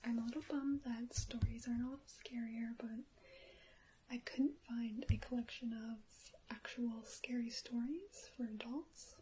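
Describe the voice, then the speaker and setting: Female ASMR storyteller, hushed breathy whisper, mellow tone, soothing careful delivery over audible hiss. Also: a little high, fairly even intonation, unhurried, rough recording. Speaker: ASMR creator reading a short story. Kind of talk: ASMR bedtime story reading.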